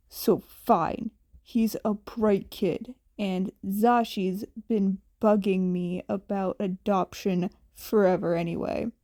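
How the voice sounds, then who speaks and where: A woman voicing a gruff, tired, blunt but protective man with a grudging deadpan delivery in a fan fiction audiobook. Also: medium pitch, no echo, clean studio sound, voice pushed down.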